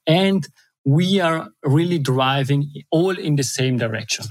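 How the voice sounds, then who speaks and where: Middle-aged Italian-accented guest, eager and animated, dry close sound. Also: male voice, fairly high pitch, a little slow, dry recording. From Italy and Switzerland. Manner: enthusiastic, repeats words, laughs often. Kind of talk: interview.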